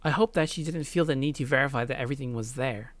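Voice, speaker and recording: Low-voiced woman doing a let's play. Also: fast talker, no room sound. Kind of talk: reading visual novel narration with casual gaming commentary.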